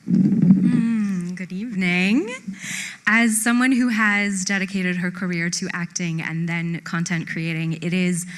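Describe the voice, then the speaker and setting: A woman's voice: fairly low pitch, steady tone, a little slow. A woman presenting an award on a theatre stage in a formal ceremony style. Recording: reverberant hall, faint noise.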